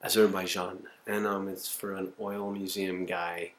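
A man in his forties talks to his home camcorder in a rambling, self-mocking way, swearing freely. His voice sits low. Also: level tone, a little slow. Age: 40s. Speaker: video diarist. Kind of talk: casual self-recorded monologue.

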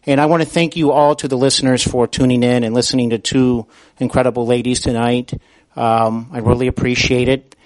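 A man's voice: medium pitch, little pitch movement, brisk, dry recording, rough recording. Man hosting a podcast, dry close sound. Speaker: podcast host. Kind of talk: interview talk and show announcements.

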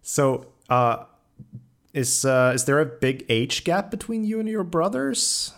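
A man's voice: on the high side, very animated, slow, a bit bright. A man hosting a podcast, asking questions in a casual, conversational interview style.

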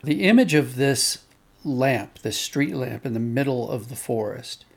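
Reflective man with a creaky voice. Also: medium pitch, animated, a little slow. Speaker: podcast guest, audio drama writer and director. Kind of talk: thoughtful explanatory conversation.

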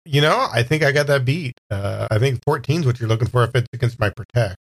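A quick-talking man with a middle-pitched voice plays a tabletop game. They lay out their character's moves casually, making them up as they go. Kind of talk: casual, improvised description of character actions.

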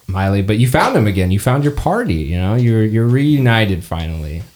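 A man improvising narration as game master, conversational and halting. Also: lowish voice, sing-song, a little mellow.

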